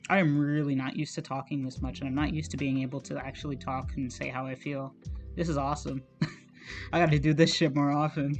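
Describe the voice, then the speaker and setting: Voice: medium pitch, level tone, normal speed, faint music behind. Chatty streamer with a slightly strained voice. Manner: chatty and self-conscious, laughs often, swears casually. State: slightly strained, scratchy throat. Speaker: digital artist streaming. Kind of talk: casual rambling commentary while drawing.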